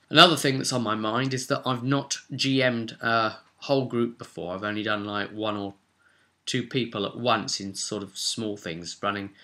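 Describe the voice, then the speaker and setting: A man's voice: fairly low pitch, bright, forceful tone. A man talking informally in a casual gaming vlog, thinking aloud as a tabletop game master in a conversational way.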